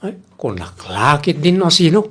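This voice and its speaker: A woman's voice: low voice, big pitch swings, moderate pace, breathy edge, heavily acted. A woman acting a teasing, plain-spoken villager in an audio comedy drama. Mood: reasoning, teasing.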